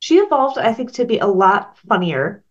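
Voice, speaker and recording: A woman's voice: mid-range voice, sing-song, moderate pace, highs cut off. A woman being interviewed as a comic writer, earnestly explaining her work at length with frequent ums. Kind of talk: thoughtful casual interview talk.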